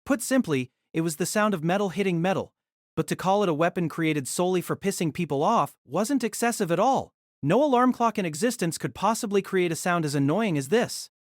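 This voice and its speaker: A man narrating a novel in an audio drama, steady storytelling with lively inflection. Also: high voice, a little fast, dry recording, clean studio sound.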